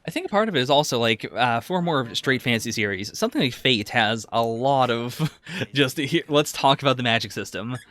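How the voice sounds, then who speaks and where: A man's voice: medium pitch, expressive, fairly quick, bright, forceful tone. A man co-hosting a podcast, casually discussing anime in conversation. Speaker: podcast host.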